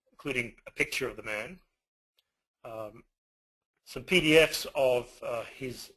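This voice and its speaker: An Australian man talks through an online lecture with a bright, slightly husky voice. He fills his pauses with many "uh"s as he goes. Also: medium pitch, low-quality recording. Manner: hesitant, many fillers. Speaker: guest lecturer.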